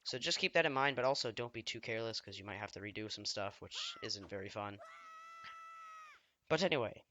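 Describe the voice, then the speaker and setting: Slightly hoarse man with a bright voice. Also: on the low side, expressive, a little fast, lo-fi sound, slight background noise. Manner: rambling, self-deprecating, trailing off mid-sentence. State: slightly hoarse, under the weather. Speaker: let's play gamer. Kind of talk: casual gameplay commentary.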